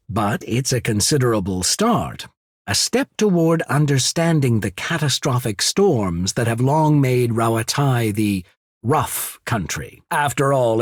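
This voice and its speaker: An actor voicing a courtly, shrewd diplomat of a trading company in a video game, speaking in a polite, measured, formal way. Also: male voice, medium pitch, a little mellow, husky, dry recording, studio quality. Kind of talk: video game character acting.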